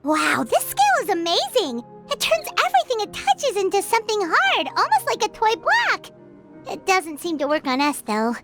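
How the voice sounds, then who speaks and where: A woman voicing an excitable, easily indignant tiny fairy sidekick in an anime game dub, full of exclamations. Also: very high voice, normal speed, exaggerated voice. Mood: amazed. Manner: refers to self in third person, exclaiming. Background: game music.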